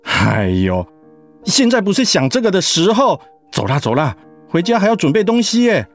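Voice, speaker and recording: A man's voice: high-pitched, huge pitch swings, normal speed, soft background music, heavily acted. A man playing a doting, practical retired husband and grandfather with a Taiwanese Mandarin accent in a radio drama. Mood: brisk, practical.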